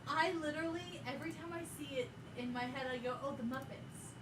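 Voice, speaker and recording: A woman's voice: high voice, a little fast, distant mic. A young woman playing in a tabletop game, teasing and giggly as she takes her turn. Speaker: player. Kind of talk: casual tabletop game chat. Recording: echoey room, off-mic, heavy background noise.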